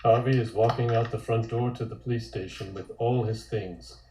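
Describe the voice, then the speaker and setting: A man's voice: mid-range voice, steady tone, normal speed, mellow, slight background noise. A man reading scripted scene descriptions as the narrator of a table read. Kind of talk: scripted stage-direction narration. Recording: roomy, echoey room.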